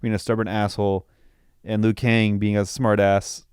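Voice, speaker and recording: A man's voice: on the low side, level tone, moderate pace, a little mellow, clean tone, no echo, clean studio sound. A man co-hosting a podcast, giving opinionated, mildly profane takes in casual movie-review chat. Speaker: podcast co-host.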